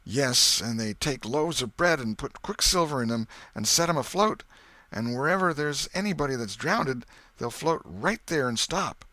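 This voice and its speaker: Man acting curious country boy, pitched up. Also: lively intonation, normal speed, sharp tone, no room sound. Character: Joe, curious young rural boy companion.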